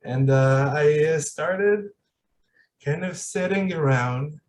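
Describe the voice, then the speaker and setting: A man's voice: a little high, takes it slowly, darkish tone, distant mic, a little hiss or hum. A man hosting a podcast in casual, reflective conversation, hesitant and searching for his words. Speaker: podcast host.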